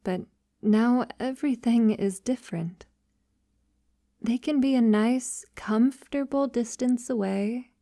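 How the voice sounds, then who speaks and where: Woman acting a soft, mellow vampire lover. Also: medium pitch, no echo, studio quality, close to an everyday voice. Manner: soft, slow, breathy delivery slipping into whispers. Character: tender, devoted female vampire lover, refined and possessive. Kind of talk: ASMR romantic audio roleplay.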